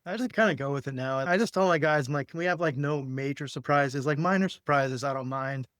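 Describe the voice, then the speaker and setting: A man with a very clear, smooth voice, recorded close and dry. He talks in a casual, laid-back way, like a spiritual podcast host guiding someone through a healing session. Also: a little high, fairly quick, a bit bright, no room sound. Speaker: podcast host and energy healer.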